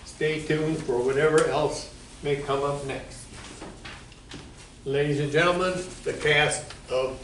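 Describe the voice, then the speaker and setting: A man's voice: a little high, steady tone, takes it slowly, pauses often, fairly bright tone. A man making a scripted closing announcement for a live show. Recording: distant, very noisy echoey room.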